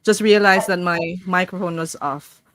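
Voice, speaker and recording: A woman's voice: lowish voice, moderate pace. Woman hosting an online talk. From Philippines. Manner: chatty, warm-up greetings, frequent restarts while reading. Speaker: host. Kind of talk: casual online talk, reading a story aloud.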